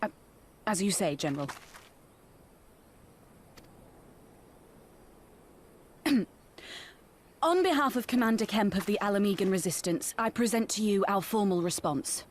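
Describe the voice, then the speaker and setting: An actress playing a respectful, frank young resistance soldier in a video game, speaking with military formality. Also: female voice, medium pitch, lively intonation, moderate pace, a little hiss or hum, close to an everyday voice. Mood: deferential. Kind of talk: video game drama acting.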